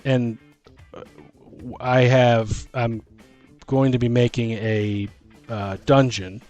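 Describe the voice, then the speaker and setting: Excitable man brainstorming over background music. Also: mid-range voice, slow pace, dull audio. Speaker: host and dungeon master on a tabletop gaming show. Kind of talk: casual brainstorming chat.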